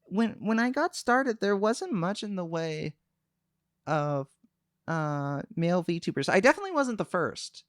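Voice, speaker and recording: A young man chatting on a livestream, calm and soft-spoken, hesitating often with fillers. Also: high-pitched, measured pace, no echo, clean studio sound. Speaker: VTuber streamer. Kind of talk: casual livestream chat.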